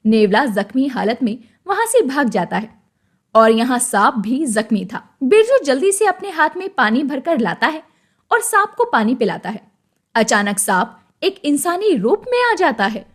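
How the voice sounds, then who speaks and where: A female narrator reads a scripted moral story. Her voice sits somewhat high. She delivers it as storytelling narration. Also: big pitch swings, normal speed.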